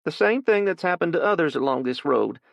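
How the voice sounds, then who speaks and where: A man voicing a frightened but angry older farm woman, pitched far above his own voice. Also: expressive, a little fast, creaky, no echo. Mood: angry, bitter.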